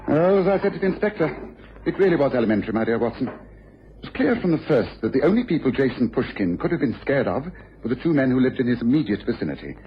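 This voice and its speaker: An actor playing a shrewd, self-assured English gentleman detective in an old-time radio drama. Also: mid-range voice, very animated, fast talker, natural voice. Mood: smug, explanatory. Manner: articulate, authoritative, self-assured. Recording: dull, hissy old radio recording.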